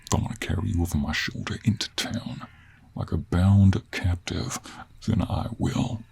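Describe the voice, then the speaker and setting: A man playing a gruff, stubborn cowboy monster hunter in an audio role play, with an American Western accent, a folksy drawl and a husky voice. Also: normal speed, darkish tone, full character voice. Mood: stern, firm. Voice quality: husky, low.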